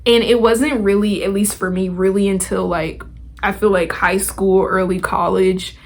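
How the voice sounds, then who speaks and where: A woman's voice: medium pitch, steady tone, moderate pace. A young adult woman hosting a podcast, talking in a casual, motivational way with chatty, informal, slangy phrasing. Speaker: podcast host.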